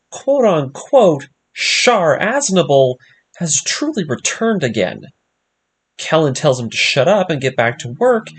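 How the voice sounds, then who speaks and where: A man reading a scripted story recap as a narrator, with a dramatic storytelling delivery. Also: on the high side. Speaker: narrator of an anime story breakdown series. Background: music.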